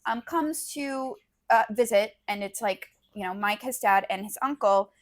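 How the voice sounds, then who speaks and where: A woman co-hosting a casual book podcast, speaking in a halting, thoughtful way with plenty of "sort of" and "like". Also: medium pitch. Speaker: podcast co-host discussing short fiction. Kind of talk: casual, unscripted book chat.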